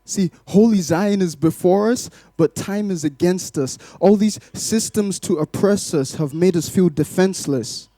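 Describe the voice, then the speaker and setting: A man in his twenties from Glasgow, born in Malawi, speaking to a congregation as a guest giving testimony, in a measured, poetic way. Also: sits high, mellow, dry recording, clean studio sound. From Glasgow, Scotland, born in Malawi. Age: 20s. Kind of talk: rhythmic spoken-word poetry in places.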